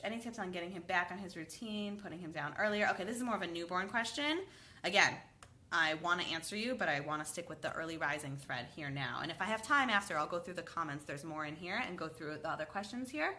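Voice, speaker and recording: A woman's voice: lowish voice, expressive, fast talker, bright, forceful tone. A woman in her thirties giving sleep advice in a live question and answer session, chatty and upbeat, slightly congested from a cold. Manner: chatty, upbeat, addresses viewers by name. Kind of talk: live Q&A, reading comments aloud.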